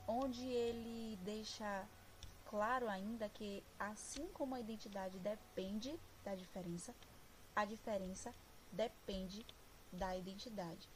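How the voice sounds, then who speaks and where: A Brazilian woman student presenting a class topic in a slow, measured way with frequent pauses. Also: mid-range voice, slight background noise, poor audio. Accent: Brazilian Portuguese. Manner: slow, measured, pausing often. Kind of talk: class presentation over slides.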